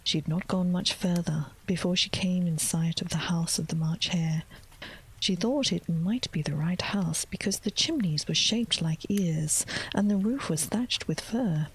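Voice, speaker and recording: A woman reading a children's classic aloud in a soft, gentle whisper, as in ASMR storytelling. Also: lowish voice, unhurried, slight background noise, rough recording.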